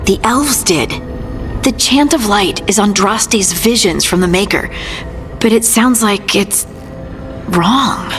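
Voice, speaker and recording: Actress as earnest young game adventurer, midrange voice, kinda hesitant n troubled, music under it. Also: female voice, mid-range voice, slow, natural voice. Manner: hesitant, plain-spoken, earnest.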